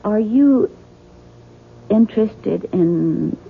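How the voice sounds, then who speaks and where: An actress playing an earnest, anxious young American wife in a radio drama, direct and probing in manner. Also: female voice, medium pitch, lively intonation, extremely slow, vocal fry, breathy edge, exaggerated voice. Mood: tentative, probing. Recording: muffled, noisy 1970s radio broadcast.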